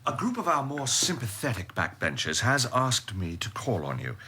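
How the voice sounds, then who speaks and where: An actor playing an earnest, reproachful backbench politician in a radio drama, husky, formal and frank. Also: male voice, mid-range voice, huge pitch swings, moderate pace, pushed and bright, exaggerated voice. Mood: concerned.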